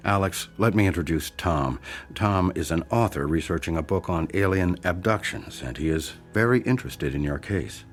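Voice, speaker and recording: An actor playing a self-important, defensive hypnotherapist, measured and formal, in a radio drama. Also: male voice, deep voice, lively intonation, faint music behind. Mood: smooth, patronizing.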